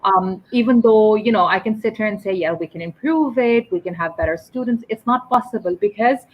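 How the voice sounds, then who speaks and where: A woman's voice: mid-range voice, a little fast. A woman from Pakistan tutoring English one-on-one, patiently explaining step by step and often checking with "right?". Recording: muffled lo-fi call audio.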